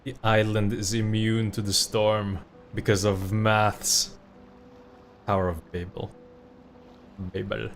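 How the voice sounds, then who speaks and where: A man streaming a game, muttering guesses and reacting excitedly as he plays. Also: lowish voice, takes it slowly, pauses often, faint music behind. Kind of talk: casual live-stream reactions.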